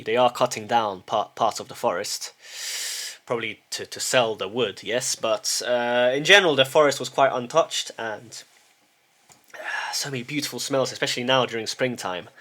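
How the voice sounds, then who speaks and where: A man's voice: medium pitch, fairly bright tone. A middle-aged man talking casually to camera in a loose monologue. He hesitates, repeats words as he restarts, and often checks in with a questioning "yes?". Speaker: vlogger. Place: lives in a small town.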